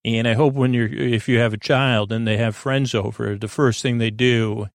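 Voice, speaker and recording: A middle-aged man with a creaky voice hosts a sleep podcast, meandering haltingly and often interrupting himself with false starts. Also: mid-range voice, steady tone, no room sound, clean studio sound. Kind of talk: rambling, meandering bedtime monologue.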